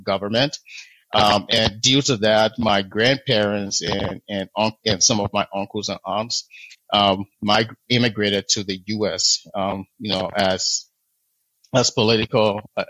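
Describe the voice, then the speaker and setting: A man from Liberia, in his thirties, telling his life story as a podcast guest in a measured way, with many pauses and restarts. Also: on the low side, sharp tone, no room sound, low-quality recording. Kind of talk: interview storytelling.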